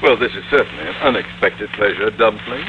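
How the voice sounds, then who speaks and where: A man's voice: fairly low pitch, brisk, close to an everyday voice. An actor playing a pompous, blustering middle-aged father in an old-time radio comedy. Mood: genial, self-important. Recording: dull, noisy vintage radio recording.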